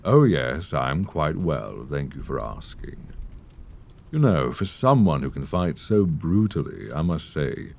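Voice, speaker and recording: A man playing a suave, sadistic vampire lord in an intimate roleplay audio, courtly and teasing with quiet menace, savoring his words. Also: rumbling low, very animated, slow, heavily acted. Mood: silky, admiring. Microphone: close binaural microphone. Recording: close binaural mic, clear background noise.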